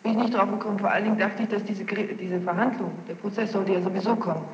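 A woman's voice: medium pitch, steady tone, quick pace. A young woman giving brief, defensive answers as a witness under questioning in court. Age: young adult. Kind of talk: courtroom testimony. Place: large reverberant courtroom. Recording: muffled, noisy, echoey archival courtroom tape. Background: clear tape noise and room ambience.

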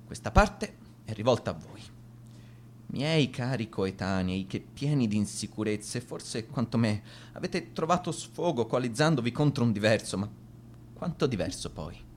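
An actor playing a bitter, despairing, sardonic schoolboy reading a farewell letter in a deliberate, wounded way, as a radio drama monologue. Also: male voice, medium pitch, lively intonation, a little hiss or hum, natural voice. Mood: bitter irony.

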